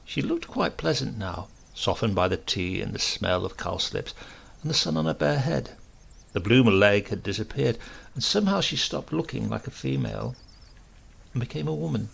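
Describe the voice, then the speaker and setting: Male storyteller for humorous fiction: heavily creaky, husky, animated intonation, scripted narration. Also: medium pitch, moderate pace. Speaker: audiobook narrator.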